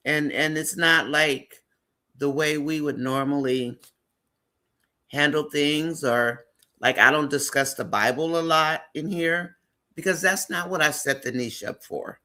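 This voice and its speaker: A man's voice: a little high, steady tone, measured pace, sharp tone. A man hosting a live stream, chatting casually and rambling with frequent fillers.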